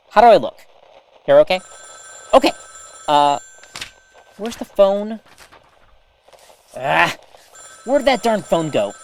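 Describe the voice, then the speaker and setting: A woman's voice: mid-range voice, low-quality recording. A woman playing an eager, awkward small-town radio host in an audio drama, chatty and hesitant. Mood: excited, flustered.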